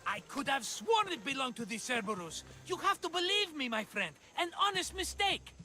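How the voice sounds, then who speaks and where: A man playing an excitable, scheming merchant in a video game, chatty, dramatic and wheedling. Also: extremely high pitch, moderate pace, a little hiss or hum, exaggerated voice. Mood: sheepish. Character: excitable scheming cheerful male merchant, always broke. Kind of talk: video game cutscene acting.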